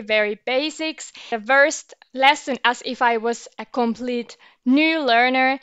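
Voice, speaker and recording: Finnish lady teacher explaining stuff as she clicks through an app, clear smooth voice, dry dull audio. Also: fairly high pitch, a bit bright. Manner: explains and comments while trying the app. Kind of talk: app review, talking to camera.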